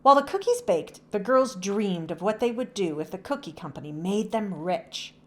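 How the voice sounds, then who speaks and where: A woman reading a children's story aloud as narrator, in an expressive, animated storytelling manner. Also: medium pitch, moderate pace.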